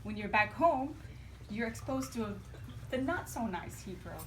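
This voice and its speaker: A woman's voice: a little high, lively intonation, moderate pace. A woman from Akka whose first language is Arabic, speaking earnestly at a public event with many ums and rephrasings. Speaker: panel speaker. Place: small restaurant venue. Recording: noticeable background noise in a venue.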